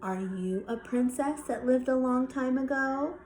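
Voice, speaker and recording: Woman as an exasperated big brother, slow-paced. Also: sits high, a little mellow, a little hiss or hum. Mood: patient. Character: little critter, exasperated older sibling coaxing his sister. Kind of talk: children's storybook character voice.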